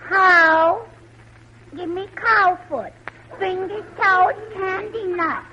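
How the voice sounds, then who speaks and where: Bratty little girl, very high, slow, coy delivery for vintage radio comedy; muffled, noisy recording. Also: heavily acted. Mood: muddled. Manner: childish, coy, stubborn. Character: bratty, sly little girl feigning amnesia. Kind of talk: 1940s radio comedy acting.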